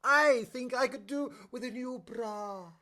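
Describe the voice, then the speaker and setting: Woman playing vain young stepsister, drawling slow pace, whiny, silly, preening, radio pantomime. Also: fairly high pitch, sharp tone. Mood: whining, vain. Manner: whiny, self-admiring.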